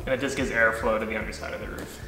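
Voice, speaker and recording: A man's voice: on the low side, level tone, very fast, pushed and bright, roomy sound, in a large hall, slight background noise, low-quality recording. A man in his thirties co-hosting a home DIY vlog, casually explaining building steps in plain terms. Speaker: co-host. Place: home studio, indoors, seated beside co-host.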